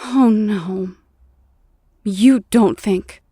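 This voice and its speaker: A woman playing a compassionate superheroine in an audio drama, with a gentle, halting, sincere delivery. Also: mid-range voice, breathy edge, no echo, studio quality. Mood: sympathetic concern.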